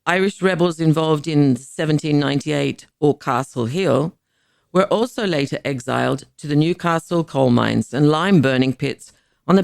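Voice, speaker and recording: A woman reading a scripted history podcast as host, in a measured, explanatory way, posing questions to the listener. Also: deep voice, vocal fry, darkish tone, dry recording, clean studio sound.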